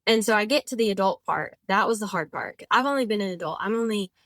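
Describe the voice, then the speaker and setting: A woman's voice: medium pitch, expressive, fast talker, a bit bright, dry recording, clean studio sound. Chatty young woman in her twenties. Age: 20s. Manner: chatty, rambling, frequent "like" fillers and self-corrections. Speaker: podcast guest, author. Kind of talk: casual conversational interview.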